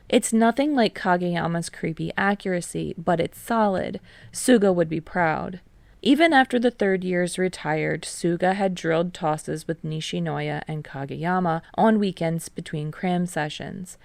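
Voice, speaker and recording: A woman's voice: on the low side, creaky, darkish tone, dry recording. A woman narrating a scripted piece of fiction as an amateur audiobook reader.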